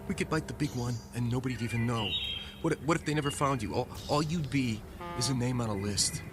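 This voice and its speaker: A man's voice: medium pitch, fairly quick, natural voice. A man playing an anxious young soldier. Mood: panicky. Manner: anxious, rambling. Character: frightened young male soldier. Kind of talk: radio drama acting. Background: music score.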